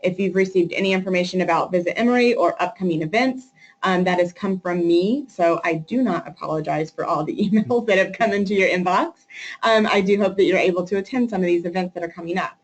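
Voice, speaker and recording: A woman's voice: lowish voice, fast talker, rough recording. A woman hosting a webinar as associate director, friendly and loosely organized, with fillers.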